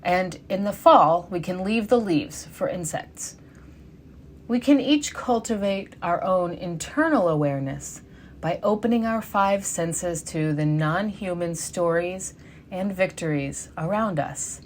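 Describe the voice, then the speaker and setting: Woman reading her sermon, earnest and steady, lowish voice, faint hiss behind. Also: a little slow. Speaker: lay sermon speaker. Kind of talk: read sermon.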